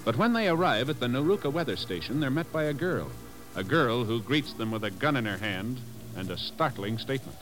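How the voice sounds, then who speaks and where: A man's voice: medium pitch, sing-song, fairly quick, over music, low-quality recording. A man announcing in a formal, emphatic, scripted 1950s radio style.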